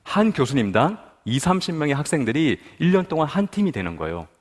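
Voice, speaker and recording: Animated man telling his story in a hall. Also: a little high, quick pace. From Busan, South Korea. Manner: storytelling, asks the audience questions. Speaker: guest speaker at a prayer meeting. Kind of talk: personal testimony talk.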